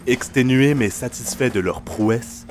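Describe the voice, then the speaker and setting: A man's voice: mid-range voice, a little slow, noisy background. A man narrating a fantasy audio drama in a literary, solemn storytelling manner.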